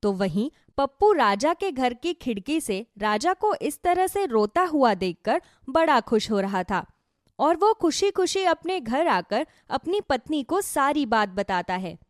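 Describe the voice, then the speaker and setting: Woman storyteller reading a kids fable, highish voice, super expressive pitch, dry sound. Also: unhurried, dry recording. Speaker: storyteller, narrator. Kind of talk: scripted Hindi children's story narration.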